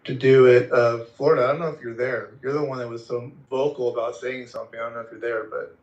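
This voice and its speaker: A man's voice: mid-range voice, little pitch movement, fast talker, darkish tone, roomy sound, dull audio. A man hosting a live online group audio room, moderating casually in a hesitant, conciliatory way.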